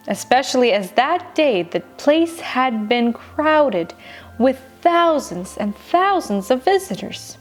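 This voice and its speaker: A woman reading a children's bedtime story in an expressive, dramatic way with deliberate, emphatic pauses. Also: on the high side. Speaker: storyteller, show host. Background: music.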